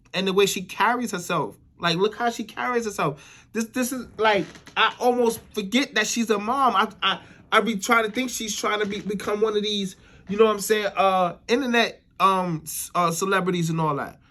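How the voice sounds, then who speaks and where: A man's voice: high voice, moderate pace, pushed and bright. An American man giving casual, opinionated commentary as a YouTuber, repeating phrases for emphasis and often checking in with the listener. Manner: repeats phrases for emphasis, frequent "you know what I'm saying" tags.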